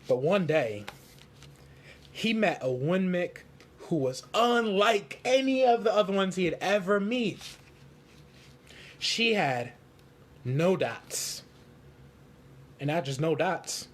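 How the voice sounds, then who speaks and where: A man reading a storybook aloud for children in simple, deliberate phrases. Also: high voice, expressive.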